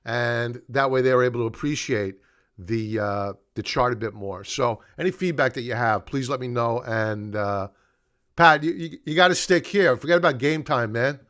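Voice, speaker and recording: A man's voice: medium pitch, normal speed, fairly bright tone. Middle-aged man hosting a live stream. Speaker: live stream host, stock market analyst. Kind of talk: unscripted live commentary, chatting to viewers.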